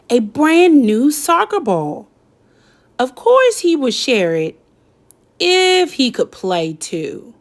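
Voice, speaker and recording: A young woman reads a children's picture book aloud, taking her time and pausing for effect. Her voice rises and falls widely as she tells the story. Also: mid-range voice. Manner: slow, lively storytelling with dramatic pauses. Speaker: read-aloud narrator.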